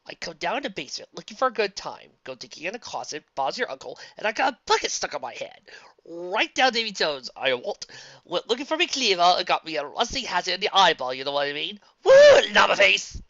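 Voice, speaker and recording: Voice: mid-range voice, big pitch swings, fast talker, sharp tone, no room sound, voice pushed up. An amateur reader voicing a whiny, hyper young man who screeches, babbles and breaks into song. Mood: babbling. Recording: dull lo-fi dry recording.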